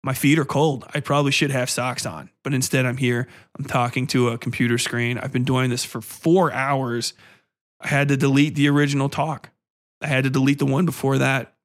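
A man's voice: medium pitch, little pitch movement, normal speed. A man hosting a solo podcast, rambling casually in a blunt, self-deprecating way and swearing freely. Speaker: podcast host. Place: indoors at a computer.

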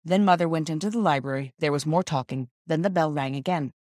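A woman's voice: low voice, fast, darkish tone, no room sound, clean studio sound. A woman reading a children's story aloud as scripted audiobook narration.

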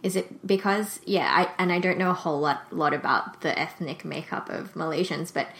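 A woman's voice: on the low side, fairly even intonation, normal speed, gravelly, fairly bright tone, roomy sound. A young woman, hosting a casual talk and asking curious follow-up questions. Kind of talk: casual conversation.

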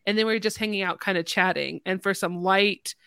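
A woman's voice: mid-range voice, steady tone, brisk, gravelly, sharp tone, clear, resonant tone, no echo. A woman hosting a casual podcast, chatting informally with the other speakers. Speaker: podcast host.

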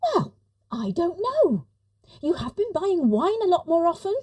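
A woman's voice: very high-pitched, very animated, unhurried, a little mellow, exaggerated voice. A woman playing a stressed, grieving mother in an audio story, exasperated and defensive as she argues with her husband. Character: Tim's stressed, grieving, accusing mother in a marital row.

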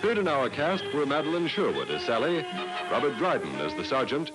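A man's voice: medium pitch, huge pitch swings, brisk, rough recording. A man announcing on the radio in the scripted style of the 1960s. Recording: old 1960s radio broadcast with music.

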